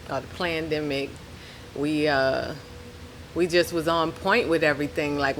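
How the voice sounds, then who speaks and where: A woman's voice: deep voice, a bit bright, low-quality recording. New Orleans-accented woman chatting over background noise. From New Orleans, Louisiana. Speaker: podcast guest, rapper. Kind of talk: casual interview chat.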